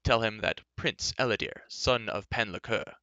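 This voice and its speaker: Man playing a sharp-voiced arrogant young prince. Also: on the low side, moderate pace, no echo, dull audio. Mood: haughty. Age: young adult. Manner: imperious, commanding, scornful. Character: arrogant, ill-tempered young prince, haughty and threatening.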